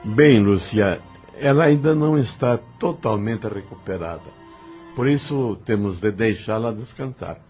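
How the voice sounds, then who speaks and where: A man playing a calm, caring spirit guide in a Brazilian audio novel, gentle and courteous. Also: fairly low pitch, unhurried, faint music behind, lightly acted.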